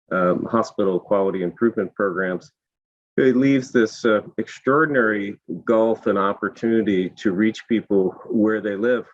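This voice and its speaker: Hoarse low-voiced man, dull audio. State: hoarse, losing his voice. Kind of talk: panel discussion.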